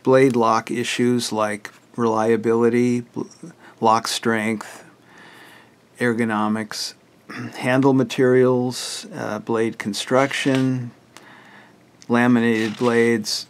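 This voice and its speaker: An older man with a hoarse, raspy voice who often clears his throat, walking plainly through a book he wrote. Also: mid-range voice, level tone, slow. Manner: plain, matter-of-fact, list-like explanation. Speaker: author presenting his book. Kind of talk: casual book walkthrough.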